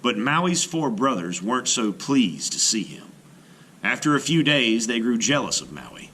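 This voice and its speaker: A man's voice: medium pitch, sing-song, measured pace, sharp tone, breathy edge, poor audio. A man reading a children's story aloud with dramatic, carefully phrased narration.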